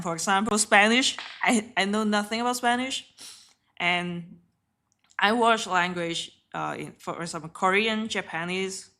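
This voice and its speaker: A woman's voice: mid-range voice, sharp tone. A woman whose first language is Cantonese, talking casually and candidly through a live trading stream with off-hand laughs. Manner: chatty and candid, swears offhandedly, often laughs. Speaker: live-streaming day trader.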